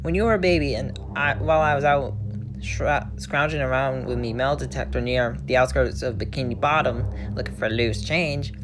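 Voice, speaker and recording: A woman voicing a gruff, sorrowful old crab father who speaks haltingly in salty sailor phrases, with music behind. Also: very deep voice. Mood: tearful, confessing.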